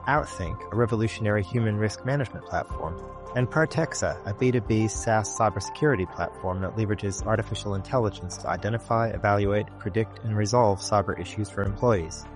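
A man's voice: fairly low pitch, a little fast. A man reading a scripted documentary promo in a serious, announcing delivery. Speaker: promo narrator. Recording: voice-over over loud music.

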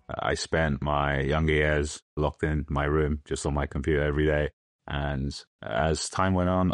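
A man's voice: extremely low pitch, no echo, studio quality. A man, giving a personal account as a guest in an interview. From London, England. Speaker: podcast guest.

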